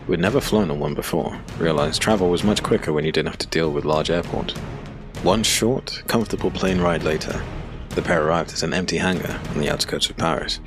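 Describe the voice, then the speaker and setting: A man narrating a scripted dark fantasy audiobook as a storyteller. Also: very deep voice, a little fast, over music.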